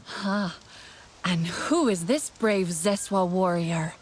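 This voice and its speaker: An actress playing a calm, helpful young warrior heroine in a game, easygoing, inquisitive and gently teasing. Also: female voice, lowish voice, slow pace, a little hiss or hum. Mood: calm, curious. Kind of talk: naturalistic game dialogue.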